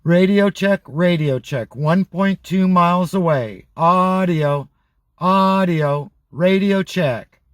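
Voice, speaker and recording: A man presenting a radio test, speaking in deliberate, repeated test phrases. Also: sits high, no room sound. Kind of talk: radio check test announcements, demonstration.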